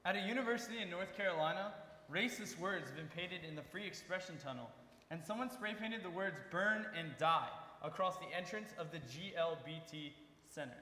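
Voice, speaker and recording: Forceful, bright-voiced young man reciting. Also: high-pitched, a little fast. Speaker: student stage performer. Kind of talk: scripted readers theater recitation. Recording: very echoey reverberant hall.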